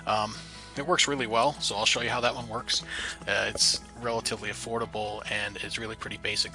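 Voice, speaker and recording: A man's voice: on the low side, sharp tone. A man giving a casual, step-by-step tutorial narration in a plain instructional way. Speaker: presenter of a genealogy tips tutorial.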